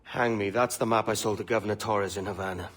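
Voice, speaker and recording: A man's voice: lowish voice, steady tone, fast talker, a bit bright. A man playing a roguish, money-minded young pirate captain in a video game, flippant, colloquial and swaggering. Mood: roguish, defiant. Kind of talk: dramatic video game acting.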